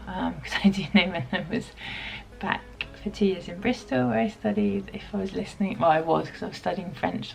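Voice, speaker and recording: A woman in her thirties from the UK, chatting reflectively to camera in a home vlog, pausing often with ums. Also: lowish voice, gravelly, rough recording. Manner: reflective, soft-spoken, many pauses and ums. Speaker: vlogger. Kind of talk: casual chat to camera.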